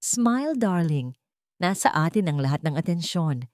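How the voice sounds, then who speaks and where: A woman's voice: fairly low pitch, sing-song, normal speed, a little mellow, no room sound, clean studio sound. A woman voicing a scheming male executive with a smug, mocking manner.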